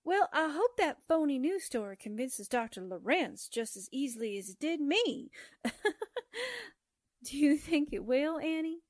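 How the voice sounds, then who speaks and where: A woman's voice: high voice, big pitch swings, measured pace, no echo, studio quality. A woman playing a dreamy, gullible young secretary in an old-time radio drama, with a gushing, wistful and naive manner. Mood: wistful, chatty.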